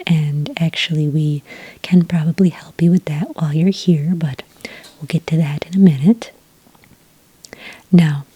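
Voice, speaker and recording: A breathy, whispering witch played by a woman works the enrollment desk in an ASMR roleplay. Her voice is low and very soft-edged, with a dark, mellow tone. She is patient, gentle and helpful, never rushing her questions. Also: no echo, exaggerated voice, rough recording. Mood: gently informative. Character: friendly, patient adult witch working as academy enrollment clerk.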